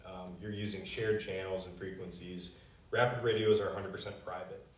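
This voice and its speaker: A man's voice: deep voice, fairly even intonation, moderate pace. A man giving an unscripted, confident promotional explanation, answering customer questions as a company spokesman. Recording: roomy echo, distant mic.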